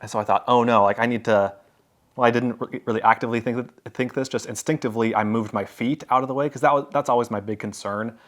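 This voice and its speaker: A man's voice: medium pitch, fairly even intonation, fast talker, few pauses. A man vlogging to camera, telling a story in a casual, rambling way, full of fillers and restarts. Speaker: travel vlogger.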